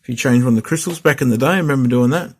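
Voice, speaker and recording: A man's voice: medium pitch, racing, slightly rough. A middle-aged man chatting casually through a radio unboxing and repair, rambling with fillers and restarts. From Australia. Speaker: hobbyist vlogger.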